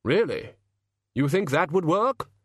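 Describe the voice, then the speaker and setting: A man's voice: on the high side, very animated, breathy edge, dry recording, clean studio sound, pitched above the performer's own voice, exaggerated voice. A man voicing a red-hooded gift-bringer with a heavy, puzzled, gloomy voice. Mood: glum. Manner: slow, heavy, glum.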